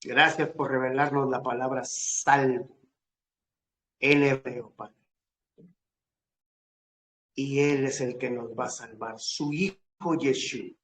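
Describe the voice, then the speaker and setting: A man preacher leading a fervent, solemn prayer in emphatic short phrases with long pauses. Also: medium pitch, fairly even intonation, fairly bright tone. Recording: echoey room.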